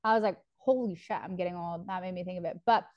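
A woman's voice: lowish voice, fast, no echo, highs cut off. A woman in her twenties co-hosting a podcast, telling a long story in a rambling, casual way, full of "like" and swearing. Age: 20s. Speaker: podcast co-host. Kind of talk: casual chat.

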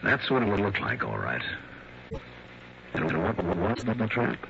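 A man's voice: on the low side, very animated, unhurried, vocal fry, very noisy, exaggerated voice. An actor playing a shrewd, wary private investigator in a 1960s radio drama, narrating his case in a clipped, world-weary way. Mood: brooding, suspicious. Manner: clipped, world-weary first-person telling. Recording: dull old radio broadcast, music behind.

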